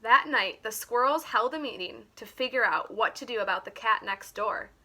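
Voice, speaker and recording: A woman reading a children's picture book aloud like a teacher, in an expressive, deliberate way. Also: a little high, slight background noise. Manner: animated, deliberate.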